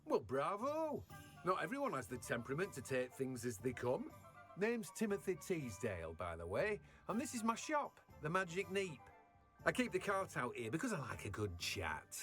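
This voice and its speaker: A man's voice: fairly high pitch, wildly swooping pitch, normal speed, fairly bright tone, faint music behind. A man voicing a jovial, sociable plant seller in a video game, hearty and chatty, with a British accent. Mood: jovial, welcoming.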